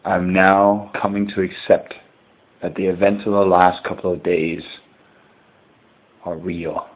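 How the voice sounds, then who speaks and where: Tinny phone-like audio log, actor as haunted man, very low voice, grave and deliberate, formal horror narration. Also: level tone. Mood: grave, shaken. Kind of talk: scripted first-person horror narration.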